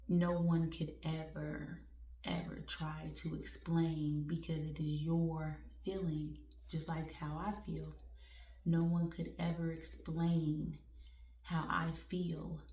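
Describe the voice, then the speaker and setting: A woman in her forties talking candidly and warmly to her viewers in a casual, self-joking way. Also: deep voice, roomy sound, distant mic. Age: 40s. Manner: candid, conversational, laughs at herself, uses y'all. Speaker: vlogger. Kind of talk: personal heartfelt talk to viewers.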